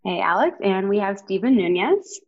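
A woman's voice: medium pitch, expressive, normal speed, a little mellow, muffled recording. A woman hosting a podcast, introducing guests in an upbeat, friendly way. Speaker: podcast host.

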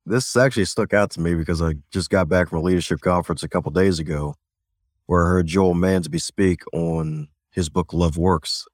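A man's voice: low voice, dry recording, clean studio sound. A man from the United States, a self-leadership coach guesting on a podcast, upbeat and a little preachy, chuckling at his own jokes. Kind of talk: casual podcast conversation.

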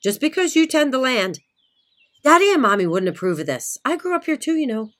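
An actress playing an indignant, devout middle-aged sister in a radio play, scolding sternly and emphatically. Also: female voice, high voice, big pitch swings, fairly quick. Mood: stern, angry. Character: seething, devout, indignant sister of a small-town landowner.

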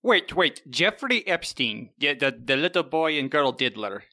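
A man's voice: a little high, very animated, measured pace, bright, forceful tone. A man co-hosting a casual podcast, joking and stammering through his banter. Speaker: podcast co-host.

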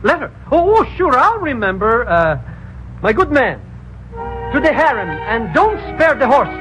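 A squeaky, giddy comic sidekick. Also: male voice, big pitch swings, measured pace, over music, full character voice. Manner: stammering, giddy. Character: excitable comic male sidekick, flustered and eager. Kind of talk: 1950s radio drama acting. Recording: dull lo-fi old radio recording.

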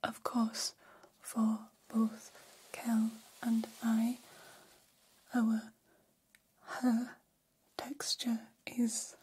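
Woman acting curious alien, ASMR roleplay, whispered, mellow, stilted formal phrasing, gentle, very flat intonation. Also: fairly high pitch, a little hiss or hum, rough recording. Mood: calm, explanatory.

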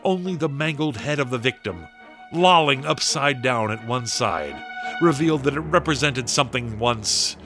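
A man narrating a gothic horror radio drama in a grave, dramatic, deliberate storytelling manner. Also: medium pitch, animated, music in the background, natural voice. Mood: grave, ominous.

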